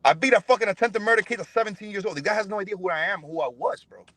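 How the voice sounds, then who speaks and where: A man's voice: high voice, very fast, a bit bright. A young man from Cuba talking casually as a fighter in an interview, emphatic and profane, with slangy repeated check-ins. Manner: emphatic, slangy, punctuated with "bro" and "you know what I'm saying". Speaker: professional fighter, podcast guest.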